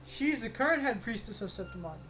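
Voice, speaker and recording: A woman streaming a game, giving excitable, casual live reactions and short asides. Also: on the high side, brisk, a little hiss or hum, poor audio.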